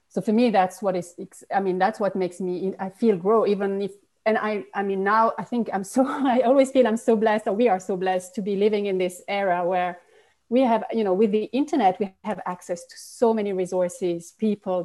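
A woman's voice: mid-range voice, steady tone, normal speed, dark tone. A woman, a guest in a conversational interview, talking reflectively with many restarts and fillers. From Switzerland. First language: French.

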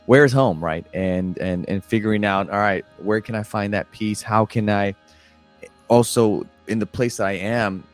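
A man's voice: lowish voice, normal speed, soft background music. A man from South Texas talking reflectively in a casual conversation, often adding "right?" after his points. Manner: thoughtful, adds "right?" after phrases.

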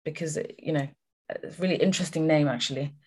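Brief: Kenyan-born female host, low-pitched, chatty and affirming. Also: fast, husky. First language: Swahili. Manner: warm affirming interjections, many fillers. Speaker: podcast host. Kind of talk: casual conversational interview.

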